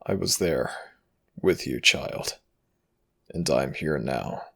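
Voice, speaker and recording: A man voicing an ancient Egyptian death god in an ASMR roleplay, tender and protective but quietly vengeful, murmuring slowly and formally with a gravelly, breathy rasp. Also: very deep voice, heavily acted. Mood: soothing, solemn. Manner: slow, hushed, formal archaic phrasing. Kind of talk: ASMR audio roleplay, intimate murmured acting.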